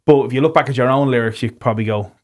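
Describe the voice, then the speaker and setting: An Irish man hosting a podcast, talking in a relaxed, casual way, swearing freely and restarting his sentences. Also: medium pitch, racing. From Ireland. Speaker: podcast host. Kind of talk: casual conversation.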